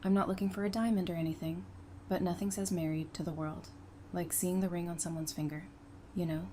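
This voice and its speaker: A woman's voice: fairly low pitch, steady tone, a little fast, mellow, slight background noise, close to an everyday voice. A woman playing an affectionate, playful survivor in an audio drama, casual and teasing in her delivery.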